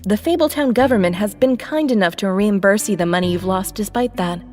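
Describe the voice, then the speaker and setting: A woman playing a cool, shrewd deputy mayor in an audio drama, speaking with crisp, sardonic authority. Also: mid-range voice, brisk, a little mellow, soft background music, natural voice. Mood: cool, formal.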